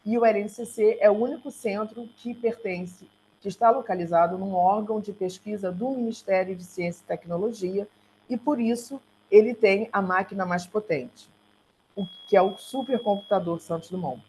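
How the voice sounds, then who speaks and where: A woman with a Brazilian Portuguese accent gives a slide presentation on an online call, speaking haltingly and correcting herself as she goes. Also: medium pitch, a little mellow. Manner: halting, self-correcting delivery. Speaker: research centre coordinator giving a talk. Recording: noisy online call with hum.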